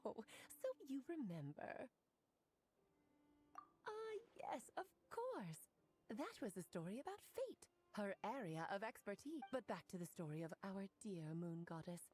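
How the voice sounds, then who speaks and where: A woman's voice: medium pitch, sing-song, a little slow, a little mellow. A woman playing a breezy, self-assured, motherly mage in a video game, articulate and theatrical, playfully explaining things. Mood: knowing, light. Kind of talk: video game voice acting, expository dialogue.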